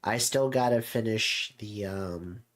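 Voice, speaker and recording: A man chatting casually and reflectively as a streamer, in a halting way with drawn-out pauses. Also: fairly low pitch, a bit bright. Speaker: streamer host.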